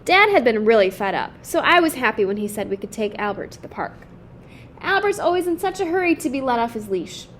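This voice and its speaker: Woman putting on a chirpy, high-voiced young girl. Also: fairly quick, slight background noise. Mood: cheeky. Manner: chatty, candid, lively. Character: cheerful, frank, friendly young girl. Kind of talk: children's picture book read-aloud.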